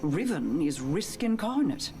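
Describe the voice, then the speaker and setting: Wary regal queen, deliberate and commanding over score. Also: low-pitched, big pitch swings, music in the background. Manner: measured, regal. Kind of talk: video game cutscene acting.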